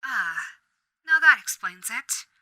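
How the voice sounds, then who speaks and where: A woman voicing a female radio demon, a suave, sadistic gentlewoman who speaks in a theatrical, old-fashioned polite way. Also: on the high side, very animated, slow pace, no echo, full character voice. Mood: amused. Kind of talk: audio roleplay.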